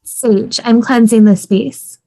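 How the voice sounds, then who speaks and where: Upbeat young wife, read by a woman, high register, casual enthusiasm, sitcom script. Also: steady tone, slow, dark tone, low-quality recording. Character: upbeat young wife excited about inherited house.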